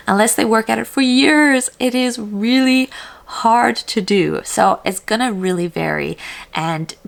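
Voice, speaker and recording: A woman's voice: mid-range voice, gravelly. A woman from South Africa giving casual, upbeat advice straight to the viewer in a chatty vlog. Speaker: vlogger giving accent advice.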